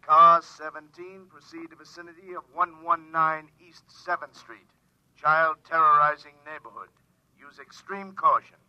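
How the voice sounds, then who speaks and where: Police dispatcher, clipped and official, slightly high voice, muffled old radio. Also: male voice, normal speed, sharp tone, clean tone. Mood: official urgency. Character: stern police dispatcher reading bulletins. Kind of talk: 1950s radio acting. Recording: muffled vintage radio recording.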